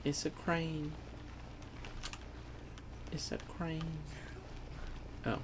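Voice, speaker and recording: A man's voice: on the high side, flat delivery, extremely slow. A man giving offhand, casual gameplay commentary as he plays, sometimes mimicking the game's lines. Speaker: gaming video host. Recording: noisy home mic with keyboard clatter.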